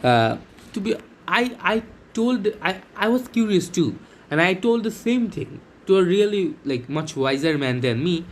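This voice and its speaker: Young man from Bangladesh, higher voice, excited, stop-start vlog talk, slight background hiss. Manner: excited, halting, with many restarts and fillers. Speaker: vlogger. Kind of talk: casual talk to camera.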